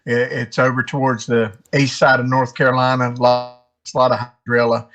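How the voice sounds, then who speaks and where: A man from West Virginia talking casually as an interview guest, halting and often repeating his words. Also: medium pitch, level tone, fairly bright tone, faint music behind. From West Virginia, United States. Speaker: interview guest, amateur tournament angler.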